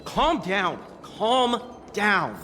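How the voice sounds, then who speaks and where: A man's voice: sits high, big pitch swings, very slow, pushed and bright, long reverb tail, slight background noise, full character voice. A man playing a cowardly, stammering officer in a video game, trying to sound firm. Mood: panicky. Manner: stammering, panicky.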